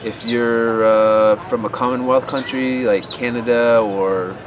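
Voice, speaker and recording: A man's voice: medium pitch, steady tone, unhurried. A man giving offhand advice about teaching abroad. He rambles and often restarts with fillers. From USA. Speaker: English teaching advice vlogger. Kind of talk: unscripted advice talk to camera. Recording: outdoor street noise.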